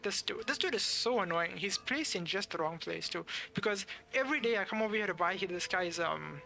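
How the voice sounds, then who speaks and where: A male streamer comments casually on a game, thinking out loud in halting bursts. His voice is bright with a good deal of creak, over faint music. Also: sits high, animated. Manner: hesitant, thinking aloud.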